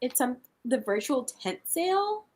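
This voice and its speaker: A woman with a fairly high voice chats casually on a livestream. Her intonation moves around a great deal as she banters informally. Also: big pitch swings, measured pace, a little mellow. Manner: chatty, informal. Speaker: reading livestream co-host.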